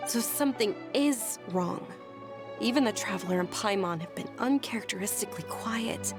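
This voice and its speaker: A woman voicing a soft-spoken young noblewoman. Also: medium pitch, lively intonation, normal speed, music in the background, natural voice. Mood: earnest. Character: gentle, polite young noblewoman, daughter of a merchant. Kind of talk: video game voice acting.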